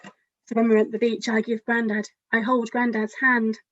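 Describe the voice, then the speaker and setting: A woman with a fairly high voice reads a picture book for young children. She is expressive and speaks straight to her listeners as a storytime author would. The sound is dry and a little dull. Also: normal speed, no room sound. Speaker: children's author reading aloud.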